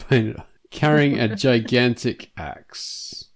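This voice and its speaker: A man's voice: mid-range voice, very slow. A man hosting a podcast in a casual, jokey chat, laughing often. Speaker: podcast host.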